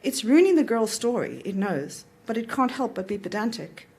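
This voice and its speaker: A woman's voice: a little high, very animated, moderate pace, a little mellow, slightly rough. A woman reading a literary short story aloud at an event, in the narrator's voice. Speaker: author reading own story.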